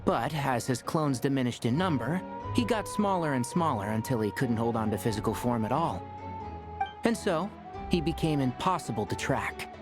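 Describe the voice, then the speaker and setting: Man playing an animated, articulate young male scholar. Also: mid-range voice, moderate pace, natural voice. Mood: composed. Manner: articulate, explanatory. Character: young male scholar, knowledgeable, calm and friendly. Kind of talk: video game voice acting. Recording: game music behind.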